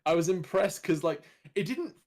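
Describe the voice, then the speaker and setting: A man's voice: high voice, expressive, moderate pace, darkish tone. A man talking casually as a voice actor on a panel, earnest and enthusiastic, feeling his way through sentences. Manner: earnest, enthusiastic praise, hesitant phrasing.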